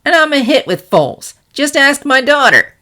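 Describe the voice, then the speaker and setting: A woman's voice: sits high, sing-song, normal speed, bright, forceful tone, pitched above the performer's own voice, full character voice. A woman voicing a brash, playful younger pegasus pony mare with a breezy, teasing manner in a story reading. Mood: defiant. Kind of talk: fan fiction character reading.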